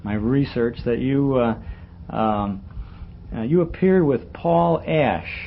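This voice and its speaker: An American man hosting a casual, nostalgic interview, with halting, hesitant phrasing. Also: mid-range voice. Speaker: radio interviewer and host. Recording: muffled, noisy archival radio interview.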